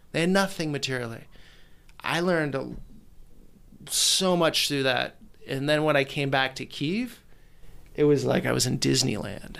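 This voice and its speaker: A man in casual conversation, telling animated, rambling stories about his travels and documentary work. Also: medium pitch, vocal fry. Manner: animated storyteller, rambling with restarts. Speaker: guest, documentary maker.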